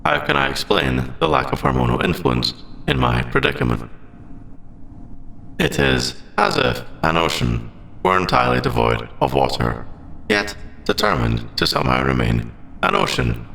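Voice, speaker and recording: Sentient AI , played by a man for ASMR roleplay: bright, crisp-edged tone, very wide pitch swings, formal and hesitant, echoing space. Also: huge pitch swings, a little hiss or hum, full character voice. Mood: analytical, troubled. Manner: halting, formal, clipped robotic phrasing. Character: lovestruck sentient AI, formal, conflicted, hesitant about forbidden feelings. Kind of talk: ASMR audio roleplay, scripted monologue.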